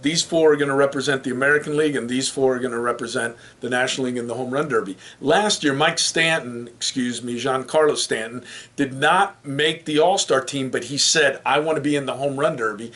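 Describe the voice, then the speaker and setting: A man's voice: medium pitch, a little fast, fairly bright tone. A man hosting a casual sports talk show, joking and steering the discussion with questions. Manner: joking, runs the segments and asks the questions. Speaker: podcast host.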